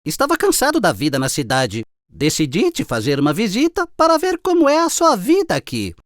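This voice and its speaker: A woman's voice: mid-range voice, huge pitch swings, fairly quick, no echo, studio quality. A woman with a Brazilian accent voicing a boastful, disdainful male city mouse in a children's cartoon fable. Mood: snobbish, boastful.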